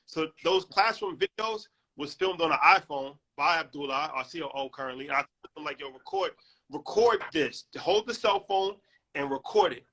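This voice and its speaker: A man hosting a casual conversation, telling stories in animated, quick bursts. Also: a little high, low-quality recording. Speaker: podcast host.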